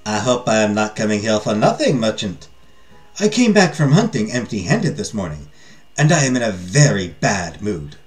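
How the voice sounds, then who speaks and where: A man's voice: on the low side, big pitch swings, moderate pace, soft background music. A man voicing a grumpy, jaded king from a storybook, imperious and dismissive. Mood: grumpy, threatening. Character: haughty, jaded, grumpy king who scorns magic. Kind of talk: storybook character reading.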